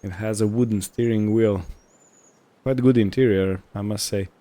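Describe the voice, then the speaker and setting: Male game roleplay host, mellow and fairly low, casual improvised narration delivered in short halting phrases. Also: fairly even intonation. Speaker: host of a roleplay gaming series.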